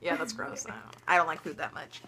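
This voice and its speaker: A woman's voice: deep voice, fast, noisy background. A teenage girl vlogger telling funny stories in casual chat, laughing often and dropping lots of ums.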